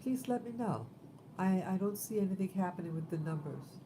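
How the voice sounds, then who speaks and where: A woman in her fifties hosting a casual livestream, talking in a rambling, halting way with many fillers. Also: on the low side, expressive, mellow, a little hiss or hum, low-quality recording. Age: 50s. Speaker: livestream host.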